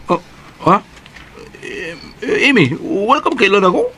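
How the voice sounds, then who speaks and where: A man's voice: high-pitched, big pitch swings, a little slow, sharp tone, slightly rough, exaggerated voice. A man playing a flustered young visitor.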